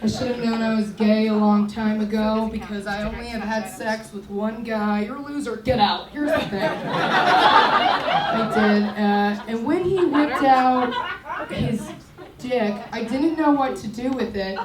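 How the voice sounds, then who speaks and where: A young woman in her twenties doing a stand-up set on a comedy club stage into a handheld mic, loud and brash, shouting her punchlines. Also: fairly high pitch, lively intonation, a little slow. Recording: roomy, distant, loud crowd and noise.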